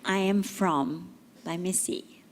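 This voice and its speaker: A middle-aged woman reading family tributes aloud at a memorial service, with a gentle, measured delivery and pauses between phrases. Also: lowish voice, fairly even intonation, a faint crowd, slight background noise. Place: memorial service venue, large room. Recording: hall reverb.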